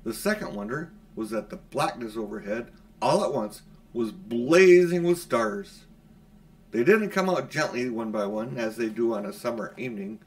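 A man's voice: medium pitch, expressive, moderate pace. A middle-aged man reading a children's storybook aloud as an audiobook narrator. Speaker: audiobook reader. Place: small furnished room at home, indoors.

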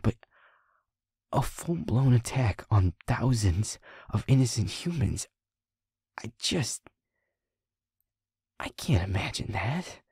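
Give this one to a feisty young werewolf boyfriend, acted by a man, gruff and shaken. His low voice crackles with fry and drops into breathy murmurs. He speaks haltingly and bluntly, as in an intimate audio drama, very close and dry. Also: a little mellow, no room sound, exaggerated voice. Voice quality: gravelly, husky, breathy half-whisper. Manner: halting, muttering, blunt swearing. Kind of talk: intimate audio roleplay acting.

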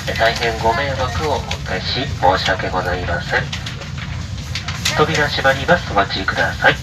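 A man's voice: mid-range voice, lively intonation, moderate pace. Male railway announcer, distant, very noisy. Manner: formal, polite, repeats each phrase. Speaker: train crew announcer. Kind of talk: service announcement with apology. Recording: distant PA over very loud train noise. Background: loud railway noise.

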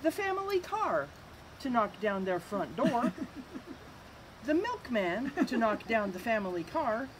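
A middle-aged woman reading a picture book aloud as a storyteller, with an expressive, amused delivery. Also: fairly high pitch, slow pace. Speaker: library storyteller. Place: outdoors on a covered wooden bench structure. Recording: outdoor recording with faint ambient noise.

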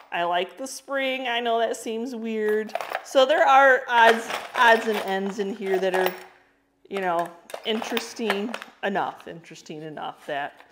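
A chatty woman talks through her auction finds, rambling and laughing as she goes. Her pitch sits in the middle for a woman, and she sounds like an everyday reseller doing a casual vlog. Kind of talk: casual vlog show-and-tell.

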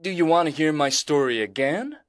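A man voicing a desperate, excitable young man who pleads for help and bursts with joy. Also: on the high side, brisk, no echo, voice pushed up, close to an everyday voice. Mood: pleading. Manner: pleading, breathless and overjoyed.

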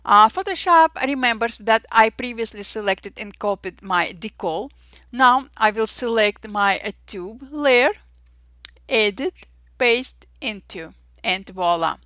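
A woman with an Armenian accent hosting a software tutorial, cheerful and chatty with frequent fillers and little exclamations. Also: a little high, expressive, slow, no room sound. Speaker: Photoshop tutorial host. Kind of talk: screen-recorded software tutorial.

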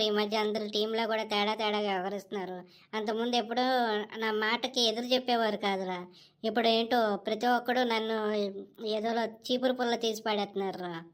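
A woman's voice: mid-range voice, steady tone, brisk, close to an everyday voice. A woman voicing a meek, good-natured young male office manager in a comedy cartoon, hesitant and deferential in the way of talking. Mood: pleading, worried. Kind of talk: Telugu comedy cartoon dialogue.

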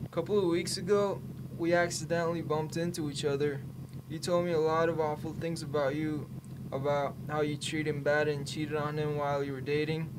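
A man acting a hesitant, apologetic young man in radio play dialogue, speaking haltingly. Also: a little high, little pitch movement, lightly acted. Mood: guilty, apologetic. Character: hesitant, apologetic young man, reluctant stalker and book lover. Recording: clear background ambient noise.

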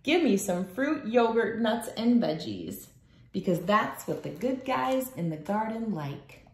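A woman's voice: medium pitch, big pitch swings, a little slow, a little mellow. Woman reading aloud in an echoey room. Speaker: children's book read-aloud host. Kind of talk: reading a picture book aloud. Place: small room at home, indoors.

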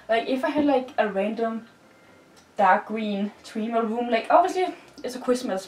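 A woman's voice: fairly high pitch, a little mellow, a little hiss or hum. Young Danish woman, bubbly rambling vlogger, far from the mic in an echoey bedroom. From Denmark. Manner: bubbly, giggly, rambling with many "like"s. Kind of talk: casual chat while decorating. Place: bedroom, indoors. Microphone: far camera. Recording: roomy, distant, with music faint behind.

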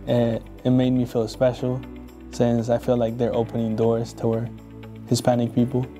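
A young man from Ecuador, a student, speaking plainly and softly in an interview. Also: medium pitch, monotone, normal speed, faint music behind.

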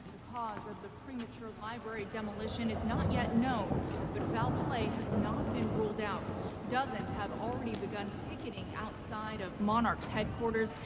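A woman's voice: mid-range voice, natural voice. A woman playing a composed, serious TV news anchor in a screen drama, reading a scripted bulletin. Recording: reverberant hall, loud background noise.

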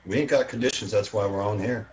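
A man's voice: on the low side, quick pace. A man from Texas chatting casually as a radio hobbyist in an online voice chat. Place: indoors, home, online voice and video chat. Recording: noisy voice chat microphone.

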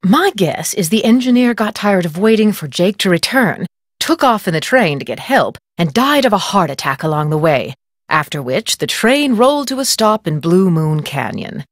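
An actress playing a haughty, gossipy middle-aged novelist in a video game, speaking in an arch, condescending, theatrical way. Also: female voice, mid-range voice, big pitch swings, normal speed, no room sound, clean studio sound. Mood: dismissive, morbid relish. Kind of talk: video game character acting.

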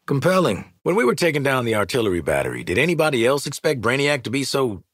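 A man's voice: medium pitch, sing-song, fast, a bit bright, no echo, clean studio sound. An American man playing a cocky, hardened mercenary marksman and squad leader in a video game, brash, sardonic and commanding, with streetwise delivery. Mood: confident, brash. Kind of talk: video game combat barks and banter.

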